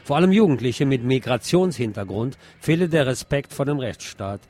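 A man's voice: mid-range voice, expressive, moderate pace, a little mellow. A man reads scripted news commentary aloud as a presenter. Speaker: podcast presenter reading press articles.

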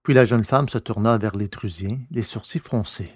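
A man's voice: fairly low pitch, moderate pace, breathy edge, no room sound. Male story narrator, dry close sound. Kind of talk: scripted literary narration of science fiction.

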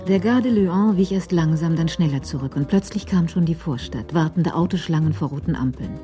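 Female narrator for radio thriller: deep, mellow, scripted storytelling. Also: a little fast, faint music behind.

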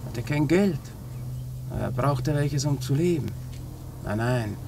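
A man's voice: mid-range voice, lively intonation, a little slow, gravelly. An actor playing a cornered male criminal confessing to an inspector in a 1950s radio crime drama. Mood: insistent. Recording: noisy old 1950s radio recording.

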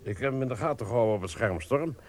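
Sardonic, impatient security chief, male actor: probing, gruffly colloquial radio-play delivery with lively swings in pitch. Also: fast, no echo, natural voice. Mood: suspicious, sardonic. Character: suspicious, impatient male supermarket security boss grilling his underling.

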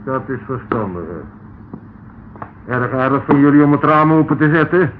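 An actor playing a masked male intruder who gives calm, mocking commands. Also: mid-range voice, normal speed, natural voice. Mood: coolly menacing. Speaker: villain. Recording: tinny old radio broadcast, faint hiss.

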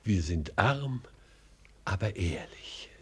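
An actor playing a deferential, anxious government minister in a radio play, speaking formally, courteously and hesitantly. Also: male voice, low voice, lively intonation, takes it slowly, darkish tone, breathy edge, full character voice. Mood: cautious. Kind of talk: radio drama acting.

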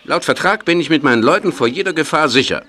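A man's voice: on the high side, animated, fast talker, bright, forceful tone, a little hiss or hum. An actor in a German audio drama playing a pushy, accusing film producer who insists on getting his way. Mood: indignant. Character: demanding, indignant film producer, pushy businessman.

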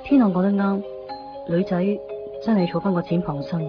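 A woman's voice: fairly low pitch, steady tone, moderate pace, natural voice. An actress playing a reserved, determined young woman in a radio drama, guarded and a little hesitant. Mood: resolute, wistful. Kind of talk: radio drama dialogue and inner monologue. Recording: muffled 1990s radio drama under music.

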